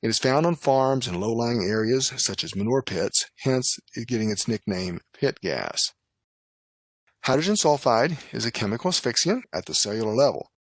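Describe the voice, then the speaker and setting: A man narrating a scripted medical lecture as an instructor, in a formal, measured, instructional manner. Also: medium pitch, lively intonation, no room sound. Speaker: lecturer, nurse and poison specialist.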